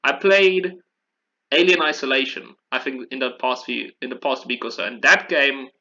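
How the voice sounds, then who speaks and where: A man's voice: mid-range voice, moderate pace, pushed and bright, lo-fi sound. A man chatting casually as a podcast host, rambling and correcting himself as he talks. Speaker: podcast host discussing video games. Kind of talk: casual chat.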